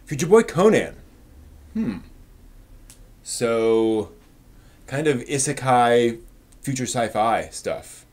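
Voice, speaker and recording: Male live stream host, mid-pitched, casual and opinionated, halting as he thinks through replies to chat. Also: lively intonation.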